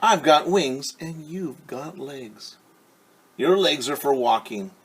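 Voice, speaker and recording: A man's voice: on the high side, expressive, takes it slowly, voice pushed up. A man voicing a boastful, matter-of-fact bumblebee in a children's storybook, blunt and smug. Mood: blunt, dismissive.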